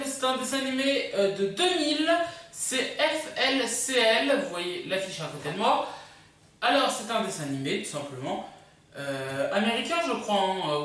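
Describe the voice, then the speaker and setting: High-voiced video host in a very echoey room. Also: fairly bright tone. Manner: hesitant, halting, self-correcting. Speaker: video host presenting a countdown. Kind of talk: casual unscripted countdown presentation. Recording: very echoey room, far from mic.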